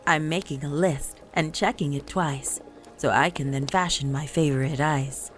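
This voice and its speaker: A woman's voice: low-pitched, steady tone, normal speed, faint music behind, close to an everyday voice. A woman voicing an eager, busy little girl speaking in storybook rhyme.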